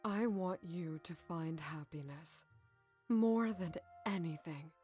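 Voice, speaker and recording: A woman playing a gentle, protective middle-aged mother in an audio drama, with music behind her. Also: mid-range voice.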